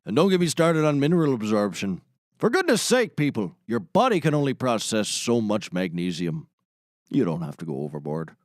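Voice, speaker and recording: A man's voice: fairly low pitch, very animated, normal speed, no echo, clean studio sound. An actor playing a matter-of-fact, salesmanlike male sidekick, a shady ex-mercenary, in a scripted comedy. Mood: earnest pitching. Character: gruff male sidekick, shady ex-mercenary turned product pitchman. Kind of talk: scripted comedy audio drama.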